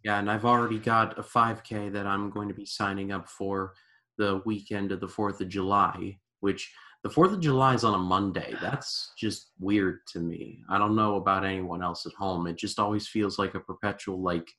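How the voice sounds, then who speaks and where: A man's voice: on the low side, steady tone, normal speed, sharp tone. A man guesting on a casual podcast, enthusiastic and repetitive, full of "like" fillers. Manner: enthusiastic, repeats himself, many "like" fillers.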